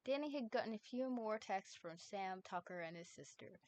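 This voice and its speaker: A woman's voice: mid-range voice, animated, moderate pace, darkish tone. A woman streamer reading fan fiction aloud with a cohost, chatty and upbeat between passages. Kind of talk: casual live-stream reading.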